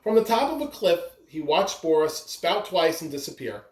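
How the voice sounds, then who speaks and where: A reader giving an expressive read-aloud of a children's story. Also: mid-range voice, moderate pace. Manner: expressive, with brief asides to listeners. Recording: roomy echo, clear background noise.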